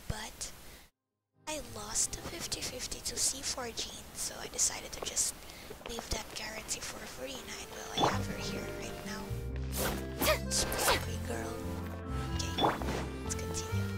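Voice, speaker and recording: A woman with a high voice and plenty of vocal fry chats through her gameplay. She rambles informally, with loud game music playing behind her. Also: low-quality recording. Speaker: video game player recording a playthrough. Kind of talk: casual rambling gameplay commentary.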